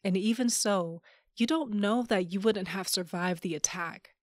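A woman's voice: mid-range voice, measured pace, no room sound, studio quality, natural voice. A woman playing a wry, loyal, rule-breaking sister in a sci-fi audio drama, casual and frank. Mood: frank, steady.

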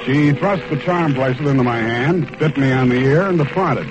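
An actor playing a wry, deadpan American private detective, narrating and talking in a 1940s radio drama. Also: male voice, medium pitch, normal speed, loud music behind the voice, close to an everyday voice. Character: wry, hard-boiled male private detective. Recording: muffled old radio recording.

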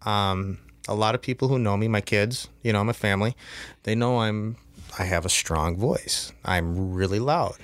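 A man's voice: fairly low pitch, normal speed, dry recording. A man, a podcast guest, chatting casually and telling stories in an informal way. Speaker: podcast guest, amateur angler. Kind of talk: casual chat, storytelling.

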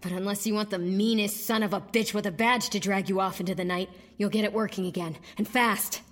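Husky, tough, suspicious farmer woman played by an actress. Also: mid-range voice, steady tone, a little fast, close to an everyday voice. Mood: urgent. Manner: curt, commanding. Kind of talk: audio drama acting.

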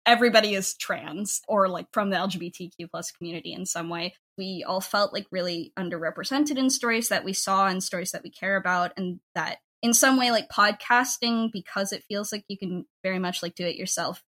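A young adult woman talking casually and emphatically in an interview as a podcast creator, with an informal, animated way of speaking. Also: medium pitch, a little fast, few pauses. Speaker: podcast network head and audio drama writer.

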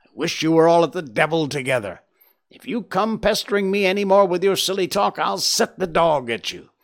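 A man voicing a surly, impatient market stall salesman in an audiobook story, blustering and shouting. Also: lowish voice, animated, slow pace, heavily acted. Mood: angry, blustering.

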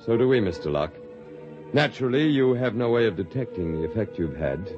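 Guy doing smooth polite alien in an old radio play, pitch swoops around, dull old broadcast with music behind. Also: lively intonation, close to an everyday voice. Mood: calm, assured. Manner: measured, smooth and politely condescending. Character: calm otherworldly alien, male, adult, running a hospital. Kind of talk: 1950s radio drama acting.

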